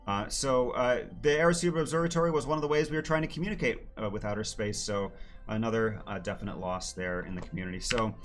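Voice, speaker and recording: A man in his thirties, slightly hoarse, hosting a casual science livestream with plenty of "uh" fillers. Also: fairly low pitch, fairly even intonation, quick pace, soft background music. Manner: friendly, filler-heavy with many "uh"s. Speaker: planetarium educator hosting a livestream. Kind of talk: casual live-stream talk.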